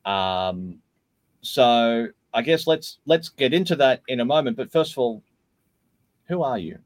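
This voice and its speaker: A man's voice: medium pitch, expressive, a little slow, no echo. A man hosting a casual podcast, joking around and hesitating with lots of fillers. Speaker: podcast host.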